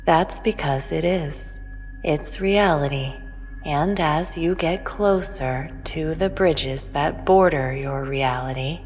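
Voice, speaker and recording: An otherworldly female intelligence, played by an actress, pronounces cosmic truths with calm superiority. Her voice is low and slow, formal and measured, in long reverb over music. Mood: serene, instructive. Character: otherworldly all-knowing female entity, cryptic, calmly superior. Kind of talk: sci-fi audio drama.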